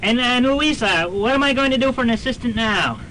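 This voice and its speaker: Man playing a stammering, high-voiced professor. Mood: anxious. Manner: stammering, halting, formal. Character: flustered, fussy college professor and amateur demonologist. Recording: faintly noisy student radio recording, rumble.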